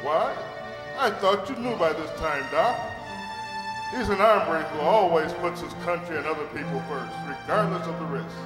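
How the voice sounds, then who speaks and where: Man as folksy, high-pitched western sheriff. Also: normal speed, lightly acted. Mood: folksy, admiring. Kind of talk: live amateur radio western acting. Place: on stage in a community hall. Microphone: stand microphone. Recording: echoey community hall, loud music behind.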